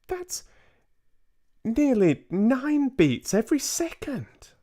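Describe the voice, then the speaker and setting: A man voicing an excitable small boy turned mouse, eager and wide-eyed in his questions, in a storybook reading. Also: very high-pitched, slow pace, voice pushed up, full character voice. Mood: astonished, alarmed.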